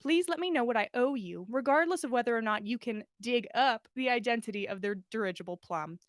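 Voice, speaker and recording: Woman plays polite correspondent, formal and measured, higher register, close dry storybook narration. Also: no echo, lightly acted. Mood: courteous. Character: polite, formal letter-writer seeking a plant.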